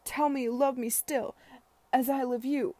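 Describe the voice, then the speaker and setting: A woman voicing a drunk, desperate, hot-tempered young gentleman with wailing, plaintive outbursts in a period romance audiobook. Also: sits high, fairly even intonation, unhurried, no echo, pitched above the performer's own voice. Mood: desperate pleading.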